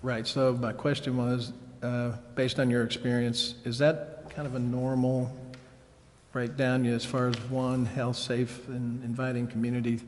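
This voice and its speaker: A man speaking as a council member at a public meeting, asking questions in halting, measured phrases. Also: mid-range voice, level tone, a little mellow, slight background noise. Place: council chambers. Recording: reverberant meeting hall.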